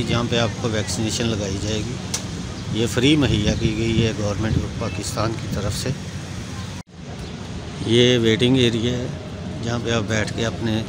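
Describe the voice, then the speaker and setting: A man with a Pakistani accent giving a plain, step-by-step explanatory walkthrough as a guide. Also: medium pitch. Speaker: vlogger and guide. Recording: very noisy, traffic and ventilation hum.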